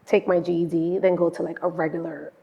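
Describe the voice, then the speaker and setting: A woman hosting a casual interview, relaxed and quick to answer with "yeah" and "mm-hmm". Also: fairly low pitch, vocal fry, dull audio. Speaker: podcast host.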